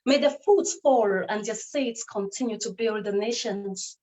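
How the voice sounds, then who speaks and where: A woman's voice: medium pitch, measured pace, dark tone, rough recording. A woman from Cameroon performing a spoken word poem in a rhythmic, declamatory way, with repeated refrains.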